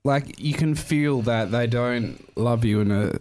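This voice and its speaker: Loud rambling man. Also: medium pitch, unhurried. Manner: loud, rambling and self-deprecating. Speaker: guest. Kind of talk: casual chat. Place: dark studio room with a party table. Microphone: studio mic on a boom arm.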